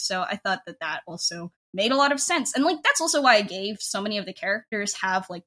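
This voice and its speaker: A woman's voice: fairly high pitch, a bit bright. A woman in her twenties talking casually as an interview guest, in a chatty, informal way peppered with "like". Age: 20s. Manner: informal, chatty, heavy use of "like" as filler. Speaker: podcast creator and writer, interview guest.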